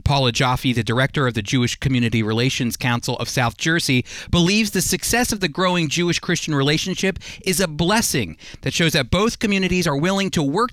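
Male radio Bible teacher, highish pitch, very varied intonation, explanatory and emphatic scripted delivery. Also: a bit bright.

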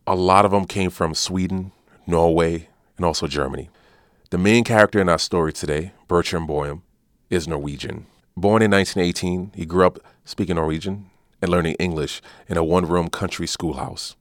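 Deep-voiced male podcast host. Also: dry recording, clean studio sound. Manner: measured, announcer-like delivery. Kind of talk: scripted radio narration.

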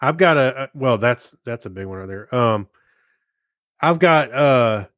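A man's voice: mid-range voice, lively intonation, unhurried, a bit bright, no echo. Laid-out casual male podcast chat, very dry sound. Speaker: podcast co-host.

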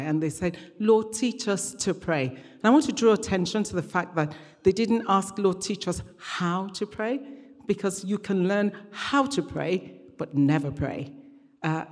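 A woman's voice: on the low side, a little mellow. A middle-aged woman speaking as a guest preacher, conversational and hesitant in phrasing, with a light touch. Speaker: guest preacher, regional church minister. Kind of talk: conversational church sermon and interview. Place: large church hall, live event. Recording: echoey hall with long reverb.

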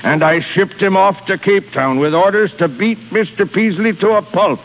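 Through a crackly, thin old radio drama recording comes a gruff old shipping boss in his sixties. His voice sits fairly high for a man and rings with reverb. He is impatient and bossy, barking salty sailor talk. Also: moderate pace. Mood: blustering. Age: 60s. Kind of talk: 1930s radio comedy-drama acting. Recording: scratchy, narrow 1930s radio recording, reverberant.

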